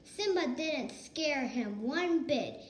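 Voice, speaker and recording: A woman reading a children's story aloud with deliberate delivery and occasional corrected stumbles, in an echoey room. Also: sits high, expressive. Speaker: storybook reader. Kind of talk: children's read-aloud narration. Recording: echoey room with faint noise.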